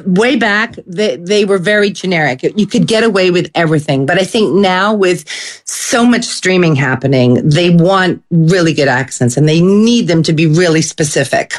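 A woman with a fairly low voice talks about her work as an accent coach. She rambles along, full of fillers and asides. The tone stays casual and conversational. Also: moderate pace. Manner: chatty, rambling, lots of fillers.

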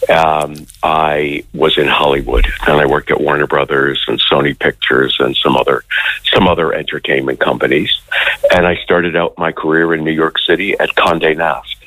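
A man's voice: rumbling low, flat delivery, moderate pace, pushed and bright, no echo, low-quality recording. A middle-aged American man from Connecticut, a guest on a phone-in radio interview, talking hesitantly with many ums and restarts. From Connecticut, United States. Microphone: phone line.

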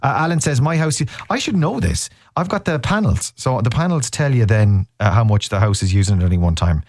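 A man's voice: mid-range voice, lively intonation, fairly quick, a little mellow, dry recording, clean studio sound. Rambling radio host man. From Ireland, Cork. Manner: rambling, jokey, self-interrupting. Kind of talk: casual radio chat. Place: radio studio. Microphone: studio microphone.

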